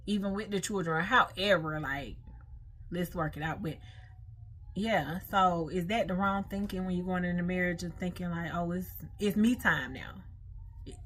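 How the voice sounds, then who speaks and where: A woman's voice: lowish voice, fairly bright tone. An American woman hosting an informal talk, moving between reading aloud and conversational commentary full of questions to her listeners. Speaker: online host reading and commenting on a marriage lesson. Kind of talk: casual talk-through of read material with personal commentary.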